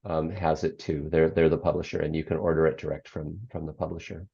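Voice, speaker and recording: An older speaker with a very low, gravelly bass voice answers interview questions at an easy pace, circling back with ums and restarts. The audio sounds a little dull and lo-fi. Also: little pitch movement, fast, warm tone. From United States. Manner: reflective, frequent ums and restarts. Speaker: guest, author and former radio program director. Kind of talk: interview conversation.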